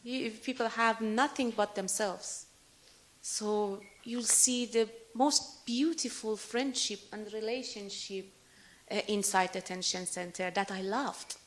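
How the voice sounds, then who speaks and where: Earnest woman speaking haltingly. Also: medium pitch, fairly even intonation. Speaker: speaker presenting her paintings. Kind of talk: lecture Q&A answer.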